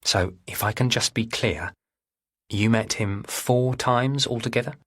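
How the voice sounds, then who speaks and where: A man voicing a calm female police detective who questions gently and persuasively in an audiobook. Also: mid-range voice, a little slow, slightly rough. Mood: careful, patient. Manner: soothing, probing.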